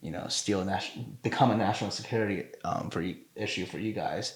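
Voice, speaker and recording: A man co-hosting a podcast in casual chat, talking haltingly with fillers and restarted phrases. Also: low voice, roomy sound. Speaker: podcast co-host.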